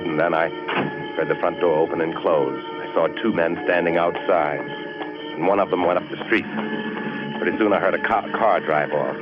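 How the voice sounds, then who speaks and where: An actor playing an anxious, wry husband in trouble with the police, in a 1940s radio thriller. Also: male voice, low-pitched, natural voice. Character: anxious, wry ordinary husband suspected by police, narrating his story. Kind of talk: 1940s radio thriller acting, first-person noir narration. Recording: dull vintage radio recording with music.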